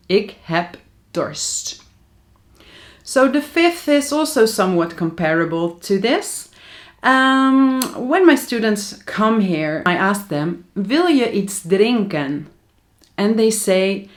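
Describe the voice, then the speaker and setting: A Dutch woman teaching her native language in English, with a Dutch accent, and she says example sentences slowly and carefully. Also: mid-range voice. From Netherlands. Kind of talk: language lesson to camera.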